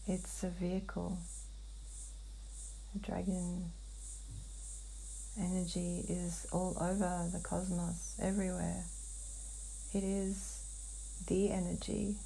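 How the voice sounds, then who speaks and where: A woman channeling in a hypnotic session, answering in halting, fragmented phrases with long pauses. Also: on the low side, gravelly, warm tone, a little hiss or hum, low-quality recording. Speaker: client in a hypnotic healing session. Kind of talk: slow trance channeling, answering questions.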